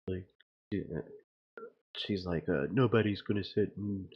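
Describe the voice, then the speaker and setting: A man with a very deep voice talks slowly through a casual, rambling commentary. He sounds puzzled and insistent as he makes his point. The recording is slightly dull and lo-fi. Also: breathy edge. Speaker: video host.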